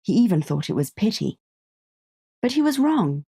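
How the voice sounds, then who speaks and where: A woman narrating a scripted story in a measured, understated way, close on the microphone. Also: medium pitch, very animated, gravelly, mellow, slightly rough, dry recording.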